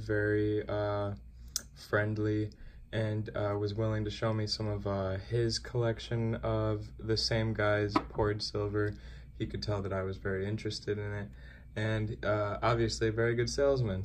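A young man chatting casually as a coin-collecting vlogger, relaxed and rambling with filler words, filmed on a phone. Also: lowish voice, slight background noise. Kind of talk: casual vlog chat. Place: car, then indoors at a desk.

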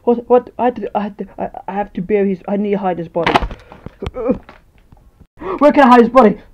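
A woman's voice: medium pitch, brisk, very noisy, natural voice. A woman voicing a jealous, scheming unicorn superfan, melodramatic and muttering, in a homemade comedy skit. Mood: panicked scheming.

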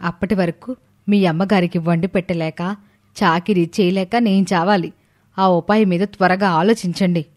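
A woman voicing a two-faced, scheming elder daughter-in-law in an animated story, dramatic and insincere. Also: lowish voice, moderate pace, natural voice. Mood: irritated.

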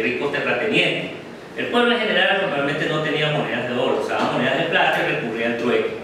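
A middle-aged man gives a slide presentation in a classroom, talking unhurriedly and often checking in with "ok?". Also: medium pitch. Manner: unhurried, many "ok?" checks with the audience. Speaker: guest lecturer. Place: darkened classroom with projector screen. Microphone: far camera. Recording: very echoey room from far off, noisy.

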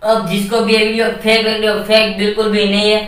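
A young man talks casually to camera in a thin, helium-altered voice. His intonation is quite flat. The sound is distant and very echoey. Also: high voice, fairly quick. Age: young adult. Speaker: video host. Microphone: camera mic a few steps away. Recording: very echoey room, off mic.

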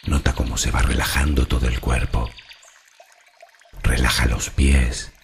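A man from narrating a guided meditation in a slow, calm, soothing and deliberate way. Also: rumbling low, gravelly, faint music behind.

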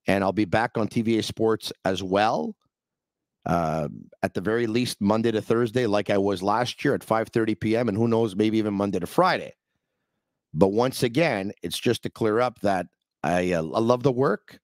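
A man's voice: lowish voice, normal speed, no room sound. A middle-aged man hosting his podcast from a small studio, talking earnestly and upbeat to the camera into a broadcast mic. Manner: speaks English and French, earnest and upbeat. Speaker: podcast host. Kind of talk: casual talk to camera. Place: studio with brick wall, indoors. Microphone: broadcast mic on arm.

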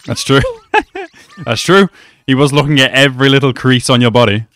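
A man's voice: medium pitch, expressive, moderate pace, fairly bright tone. A man in his twenties giving slangy, amused reaction commentary in a casual way, close to a desk microphone. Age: 20s. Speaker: reaction video host. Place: small indoor room.

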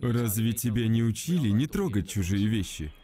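A man voicing stern, composed men of a martial order in a dubbed fantasy cartoon, speaking in a measured way. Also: fairly low pitch, lively intonation, dark tone, soft background music, natural voice. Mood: cold, scolding. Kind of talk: Russian dub of animated fantasy series.

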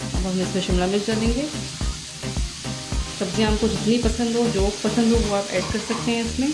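A woman hosting a cooking channel, calmly talking through a recipe step by step in a voice-over. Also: mid-range voice, fairly quick, echoey room, over loud music, low-quality recording. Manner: steady step-by-step instructing. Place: home kitchen.

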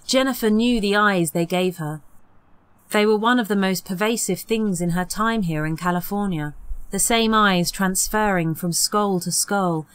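A woman's voice: mid-range voice, moderate pace, clear, resonant tone, no echo. A woman narrating a horror audiobook in a scripted storytelling style.

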